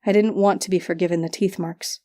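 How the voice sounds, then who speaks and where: A woman's voice: on the low side, steady tone, a little fast, dark tone. A woman narrating a literary first-person fantasy story as an audiobook reader.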